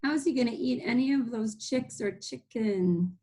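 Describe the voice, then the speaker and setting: A woman's voice: a little high, moderate pace, mellow. A woman hosting a children's read-aloud, telling the story expressively with casual asides and simple explanations. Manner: explains words as she reads.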